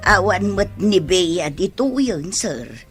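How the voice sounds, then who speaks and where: A woman's voice: on the low side, expressive, slow, music in the background. A woman acting in a radio drama as a hesitant young woman who defers to her boss. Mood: meek, deferential.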